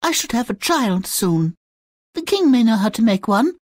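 A haughty queen of fairy tale for a video game. She is theatrical and demanding, swooping up in pitch over a dry, close sound. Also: big pitch swings, normal speed, darkish tone, no echo. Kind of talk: video game character acting.

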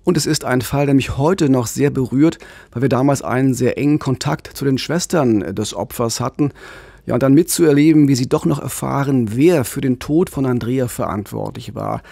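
A middle-aged man with a gravelly, husky voice tells a crime case in an earnest, engaged way. He speaks into a large studio microphone in a radio booth. The sound is close and dry. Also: medium pitch, very animated, moderate pace, dark tone, no room sound. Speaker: co-host, crime reporter. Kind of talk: conversational true-crime podcast storytelling. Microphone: large studio mic on a boom, headphones.

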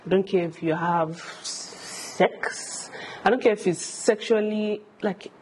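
A woman's voice: medium pitch, fairly even intonation, slow pace, a little mellow, slight background noise, rough recording. A woman in her thirties with a Nigerian accent, a vlogger giving candid, emphatic advice and repeating phrases for stress. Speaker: vlogger answering viewer questions. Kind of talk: candid advice talk to camera. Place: furnished home room, indoors.

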